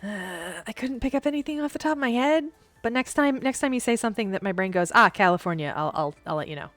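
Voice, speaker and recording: A woman's voice: medium pitch, expressive, quick pace, fairly bright tone, no room sound, soft background music. A woman from Missouri chatting casually while streaming a game, explaining things in rambling bursts with many restarts. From Missouri, USA. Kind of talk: casual chat while gaming.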